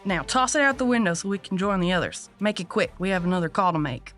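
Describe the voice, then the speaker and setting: An actress playing a gruff, sardonic working woman, blunt and bossy, in an audio drama. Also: fairly low pitch, lively intonation, fast talker, a bit bright, soft background music. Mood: brisk approval. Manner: blunt, dry, bossy, drawling slang. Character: gruff, sardonic Southern woman pest catcher, seasoned boss. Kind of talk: audio drama character acting.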